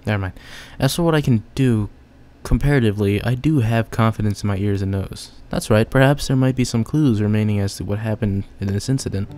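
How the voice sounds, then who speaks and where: Low mellow-voiced young man. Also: brisk, few pauses. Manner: chatty, reads text then jokes about it. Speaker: gamer. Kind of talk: casual let's play, reading game text aloud.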